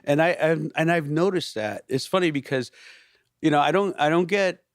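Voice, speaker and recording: A pastor and worship leader in casual podcast conversation, animated and restarting his sentences often, peppered with "you know". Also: fairly high pitch, moderate pace, no echo, clean studio sound.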